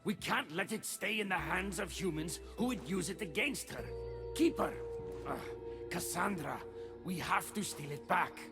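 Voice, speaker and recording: A high-pitched male god from a video game, anxious and devoted to his queen. His delivery is earnest and theatrical with plenty of creak, and music plays clearly behind him. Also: lively intonation, normal speed. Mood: devoted, defensive. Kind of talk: video game cutscene acting.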